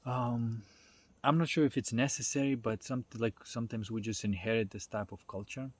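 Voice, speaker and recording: A man giving a casual, unscripted philosophical talk in a halting, reflective way, thinking aloud and pausing often. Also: mid-range voice, steady tone, a little mellow. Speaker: spiritual self-development speaker.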